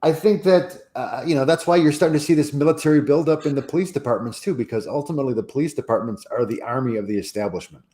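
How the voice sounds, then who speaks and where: A man's voice: mid-range voice, quick pace, hardly any pauses, mellow. A man co-hosting a livestream who talks earnestly about politics, with frequent stammers and restarts. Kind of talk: casual livestream discussion.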